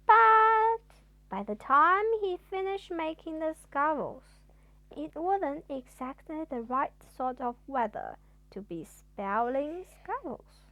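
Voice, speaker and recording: A woman's voice: high-pitched, very animated, slow pace, bright, forceful tone, no echo, highs cut off. A woman reads a children's storybook expressively, like a mother at bedtime, drawing out words for theatrical emphasis. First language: Chinese.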